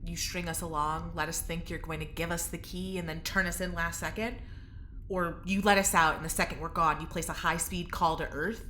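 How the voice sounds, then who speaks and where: A woman playing a brash, suspicious, sharp-tongued plotter in an audio drama, blunt and sarcastic. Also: on the low side, steady tone, a little fast, slight background noise. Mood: angry, distrustful.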